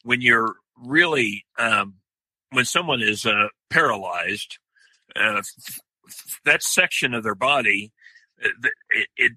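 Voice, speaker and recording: An older man with a deep, loud voice, talking slowly in a podcast interview with many pauses and restarts. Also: breathy edge, dry recording, poor audio. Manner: slow, halting, many fillers and restarts. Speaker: guest, outdoor writer.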